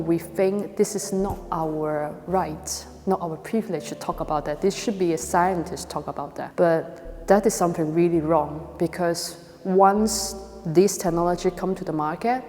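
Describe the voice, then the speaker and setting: Mellow woman, Hong Kong accent, reverberant hall. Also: lowish voice. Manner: hesitant, halting non-native English. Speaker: video and installation artist. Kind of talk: artist talk presenting an exhibition.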